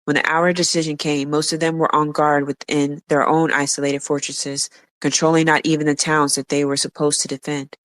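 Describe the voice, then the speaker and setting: Podcast co-host reading history aloud; monotone, dry close mic, casual asides and self-corrections. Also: mid-range voice, brisk, dry recording. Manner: reads steadily, stumbles and restarts words, drops blunt offhand remarks.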